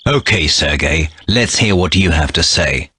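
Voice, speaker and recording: An actor playing a tough young male commando who talks in curt, threatening lines, with a husky voice. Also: very deep voice, lively intonation, a little slow, bright, forceful tone, exaggerated voice. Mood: grudging.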